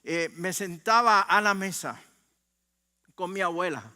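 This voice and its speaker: A male pastor with a Puerto Rican accent delivers a sermon in a bright, smooth, resonant voice. He phrases deliberately, pausing as he tells stories conversationally. The sound carries the long reverb of a large hall. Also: high voice. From Puerto Rico. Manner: deliberate phrasing, dramatic pauses, conversational storytelling. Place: large room, speaking to a congregation.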